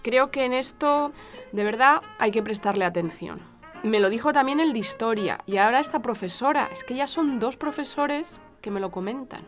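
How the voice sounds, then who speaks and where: An actress with a Spain accent playing a gentle, earnest woman, an affectionate partner and confidante, in an audio drama. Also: on the high side, lively intonation, moderate pace, faint music behind, natural voice. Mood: concerned. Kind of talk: audio fiction podcast acting.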